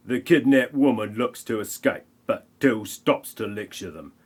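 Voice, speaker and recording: Low-voiced man narrating a comic audio play. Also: unhurried.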